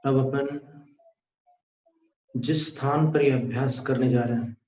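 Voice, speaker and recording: A man with an Indian accent leading a guided meditation, speaking calmly and deliberately with pauses. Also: medium pitch. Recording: echoey room, dull muffled sound.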